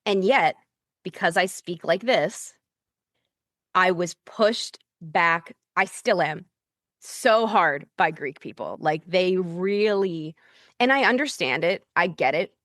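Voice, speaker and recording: A young adult woman from the United States speaks with a slightly low, dry-sounding voice. She talks candidly and animatedly, sprinkling in fillers as she opens up about her experiences. The sound is close with no room around it. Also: expressive, slow pace, a bit bright, no echo. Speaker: guest, priestess and author. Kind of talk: casual conversational interview.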